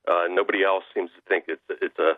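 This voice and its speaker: An American man giving political commentary as a radio guest over the telephone, halting and often restarting his sentences. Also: low-pitched, little pitch movement, no room sound. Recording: narrow tinny phone line.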